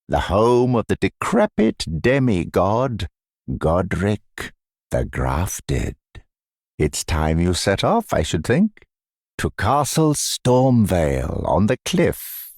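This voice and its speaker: Smug fantasy game mentor, low-pitched, creaky, mock-sympathetic, theatrical, sinister, condescending. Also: male voice, a little mellow, dry recording, studio quality, heavily acted. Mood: smug, instructive. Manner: mock-sympathetic, theatrical, drawn-out deliberate phrasing.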